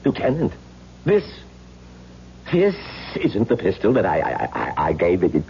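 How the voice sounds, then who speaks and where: A man's voice: low-pitched, huge pitch swings, unhurried, full character voice. An actor playing a flustered, bewildered man who stammers and repeats himself in a 1970s radio drama. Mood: shaken, bewildered. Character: flustered, bewildered man, a film production's pistol provider. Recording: dull, noisy old radio recording.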